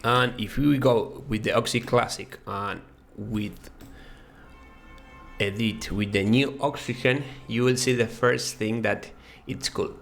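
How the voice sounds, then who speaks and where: A man from Argentina with an Argentine Spanish accent, whose first language is Spanish, giving a halting, casual walkthrough of software with many fillers and restarts. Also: lowish voice, fairly even intonation, gravelly, soft background music. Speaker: web design course creator.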